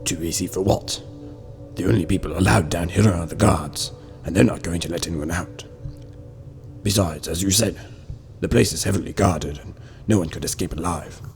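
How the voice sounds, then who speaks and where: A man playing a wise, secretive old prisoner in an amateur radio drama, speaking in a hushed, measured way. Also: deep voice, creaky, faint music behind. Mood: calm, secretive.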